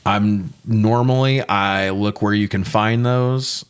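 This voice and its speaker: A man's voice: lowish voice, fairly even intonation, takes it slowly, clean tone. A man co-hosting a podcast, chatting casually about movies in a blunt, sweary way. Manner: profane, blunt. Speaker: podcast co-host. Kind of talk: casual movie review chat.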